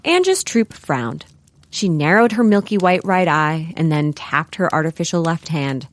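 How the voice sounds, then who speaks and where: Lively, lowish-voiced woman narrator. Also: unhurried. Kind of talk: scripted comedic audio drama narration.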